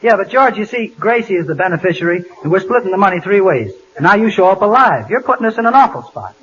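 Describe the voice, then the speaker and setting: A man in 1940s radio comedy with a quick, wisecracking delivery. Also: high-pitched, expressive, a bit bright. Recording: muffled 1940s radio broadcast.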